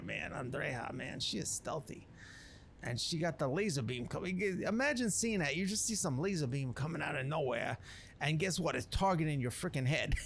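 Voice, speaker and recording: A man's voice: fairly high pitch, vocal fry, a bit bright, slight background noise, exaggerated voice. A man voicing a brash wasteland chef who rambles in emphatic, slangy wise-guy talk as he recounts a legend over a video game. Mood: breezy, boastful. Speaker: narrator of a roleplay series. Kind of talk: improvised in-character game commentary.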